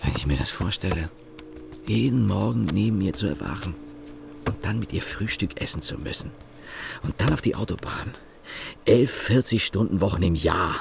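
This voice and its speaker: An actor playing a young, insecure engineer in a radio play, hesitant and easily flustered. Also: male voice, low-pitched, lively intonation, normal speed, gravelly, slight background noise, exaggerated voice. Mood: brooding, disdainful. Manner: stammering, hesitant.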